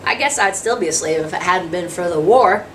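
A woman's voice: fairly low pitch, fairly quick, natural voice. A woman acts out a humble, hungry drifter in a storybook reading. He tells his hard life plainly, in a folksy rural dialect. The sound is a little distant, with faint noise behind. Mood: matter-of-fact, recounting. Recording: distant mic, noisy room sound.

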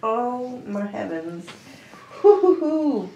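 A woman's voice: a little high, very animated, slow, muffled recording. A young woman as a patient, reacting casually in short remarks. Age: young adult. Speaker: chiropractic patient. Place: small office, indoors. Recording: echoey, distant, noisy room.

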